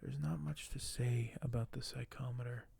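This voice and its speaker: A man speaking softly and gently for an ASMR video, sometimes close to a whisper, with a halting delivery as host. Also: medium pitch, steady tone, gravelly, a little hiss or hum. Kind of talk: soft-spoken ASMR, partly whispered; reading aloud and commenting on collectibles.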